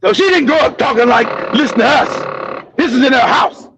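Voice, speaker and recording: A man with a strikingly high voice and a rough, gravelly edge rants at full volume, full of mockery and swearing. His heated commentary comes through dull, lo-fi stream audio. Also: normal speed, noisy background. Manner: loud, profane, mocking ranting. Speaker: show host.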